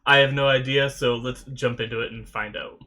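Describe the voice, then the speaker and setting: A man's voice: medium pitch, steady tone, a little fast, bright, forceful tone. A man hosting an anime reaction video, chatting casually to viewers while still sniffly and recovering from a sore throat. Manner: rambling, loose, talks with many fillers.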